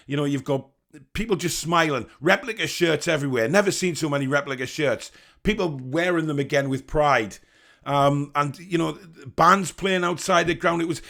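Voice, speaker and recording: A man hosting a football fan channel, sounding croaky from the flu, chatty as he interviews fans. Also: fairly high pitch, fairly bright tone. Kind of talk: fan vlog and vox pops.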